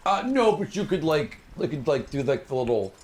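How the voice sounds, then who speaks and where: A man's voice: fairly high pitch, very animated, fairly quick, a little mellow, a little hiss or hum. A man casually narrating and explaining the scene as game master of a tabletop fantasy game.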